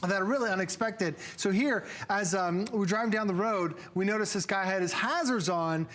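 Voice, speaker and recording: High-voiced man demonstrating a product. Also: normal speed, a bit bright. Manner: enthusiastic, anecdotal. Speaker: on-air product presenter. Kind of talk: conversational live product demo.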